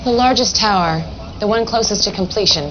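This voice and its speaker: Woman playing brisk army aide, game cutscene, fast talker, dull noisy audio. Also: medium pitch, lightly acted. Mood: urgent. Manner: brisk, urgent. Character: brisk, urgent female military aide. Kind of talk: dramatic video game cutscene acting. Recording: dull lo-fi audio, clear background noise.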